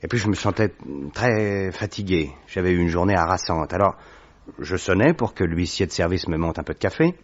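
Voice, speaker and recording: A man voicing a worried young male government clerk in a radio drama, recounting events in a measured, hesitant way. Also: deep voice. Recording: dull muffled audio recording.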